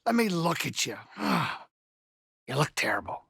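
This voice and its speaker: A man voicing a gruff but caring small alien saloon keeper in a video game, with a blustery, folksy, wisecracking delivery. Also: fairly high pitch, big pitch swings, unhurried, a bit bright, dry recording, clean studio sound, exaggerated voice. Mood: warm, wry. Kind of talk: video game character dialogue.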